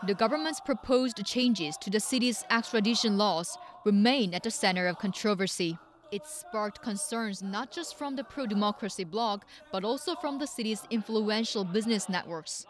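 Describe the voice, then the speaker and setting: A woman's voice: medium pitch, background noise. A woman reporting the news in scripted narration.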